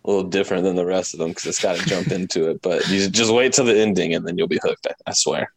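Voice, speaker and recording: A man's voice: deep voice, fast, gravelly, no echo, low-quality recording. A man chatting casually with friends as a podcast crew member, informal and sweary, often giggly as he talks. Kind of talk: casual group chat. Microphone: online voice chat. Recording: steady background noise and clicks.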